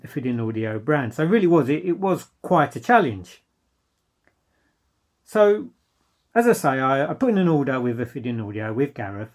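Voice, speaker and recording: A man presenting an informal product review as a dealer, speaking in an enthusiastic, emphatic way. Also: mid-range voice.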